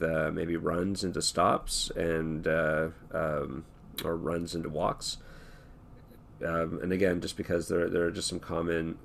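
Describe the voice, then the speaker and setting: A hoarse man talking through an animation tutorial in a relaxed, thinking-aloud way, with many fillers and restarts. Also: low-pitched, slight background noise. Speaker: animation instructor. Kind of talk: screen-recorded tutorial talk.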